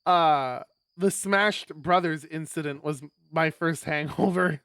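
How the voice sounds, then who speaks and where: Mid-pitched game streamer, animated and rambling, swears freely, reads aloud between banter, dry close recording. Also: slow pace, clear, resonant tone, no echo. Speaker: streamer reading a visual novel aloud. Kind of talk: casual live game stream banter and narration.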